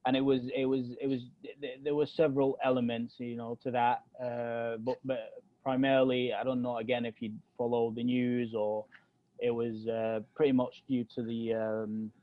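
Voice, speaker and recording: A man's voice: medium pitch, little pitch movement, normal speed, dry recording, phone-quality sound. A young man from Egypt, with Arabic as his first language, speaking with a British accent with a hint of American. He co-hosts a casual podcast chat and talks hesitantly, with many fillers and restarts. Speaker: podcast co-host.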